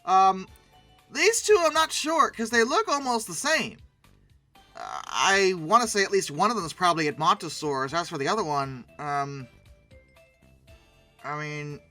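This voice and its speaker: A commentator giving casual, humorous commentary in a rambling, animated, mock-indignant way, with conversational asides. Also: medium pitch. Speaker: host reviewing old dinosaur illustrations.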